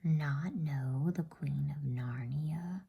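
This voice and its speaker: A woman's voice: deep voice, extremely slow, muffled recording, exaggerated voice. Woman whispering as a cold, haughty witch queen. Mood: haughty, stern. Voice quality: whispered, husky. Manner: haughty, stern, commanding questions. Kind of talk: whispered bedtime story reading.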